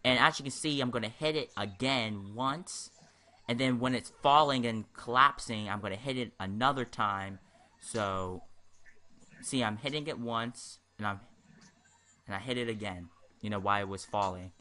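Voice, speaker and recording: A man's voice: medium pitch, moderate pace, sharp tone. A man giving casual commentary over a video game walkthrough, rambling and hesitant, often repeating himself. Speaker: gaming video host.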